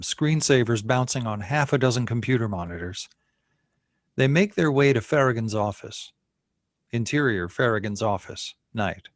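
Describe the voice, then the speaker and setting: A man's voice: mid-range voice, no echo, clean studio sound. A man reading scene headings and stage directions as narrator at a screenplay table read.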